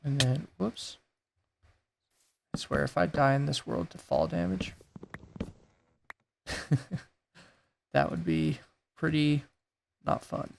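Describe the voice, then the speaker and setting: Guy w a super gravelly raspy voice, kinda hoarse, chill gameplay commentary, bit of hiss behind. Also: a little high, slow, pauses often. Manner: laid-back, thinking aloud while building. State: losing his voice. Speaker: gaming YouTuber.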